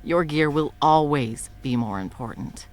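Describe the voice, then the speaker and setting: A woman voicing a scheming female supervillain who swears and often drops to a soft whisper, in a one-sided audio roleplay. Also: low-pitched, animated, unhurried. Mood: scornful, scheming.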